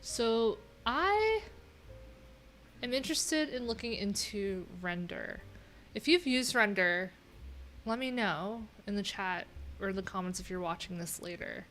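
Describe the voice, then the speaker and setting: A woman's voice: medium pitch, animated, unhurried, fairly bright tone, slight background noise. A middle-aged woman hosting a casual livestream, thinking aloud and reading from her screen with wry, offhand asides. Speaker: livestream host and web developer. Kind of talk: casual live coding stream chat, thinking aloud. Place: small indoor room at home.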